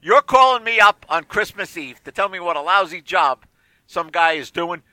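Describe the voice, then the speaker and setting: A man in his sixties with a shrill, distinctive voice, bantering about sports and repeating himself emphatically. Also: animated, moderate pace, pushed and bright, no echo. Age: 60s. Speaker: sports talk show panelist.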